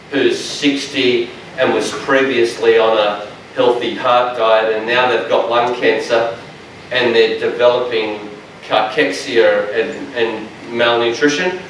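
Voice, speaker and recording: A man, a dietitian giving a lecture to health workers in a large room, with a loud, strong voice and a passionate, joking manner. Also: medium pitch, a little hiss or hum. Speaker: dietitian presenter. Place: large echoey training room. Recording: echoey room, speaker far from mic.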